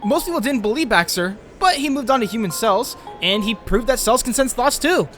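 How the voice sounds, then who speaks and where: A man's voice: extremely high pitch, animated, brisk, a bit bright, in a large hall, soft background music. An actor playing an anxious, brooding, science-obsessed teenage boy in a comic dub, earnest and prone to questioning himself. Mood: eager, earnest. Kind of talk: acted comic dub with inner monologue.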